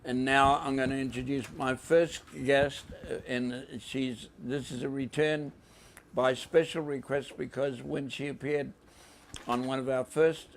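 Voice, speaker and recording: Slow, gravelly New Zealand man hosting. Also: medium pitch, slight background noise. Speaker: podcast host. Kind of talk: informal show hosting.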